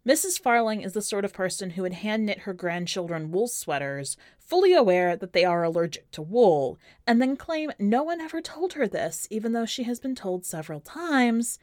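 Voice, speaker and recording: Expressive woman reading, close dry sound. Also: mid-range voice, moderate pace, few pauses, no room sound. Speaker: podcast host and story reader. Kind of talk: expressive first-person short story narration.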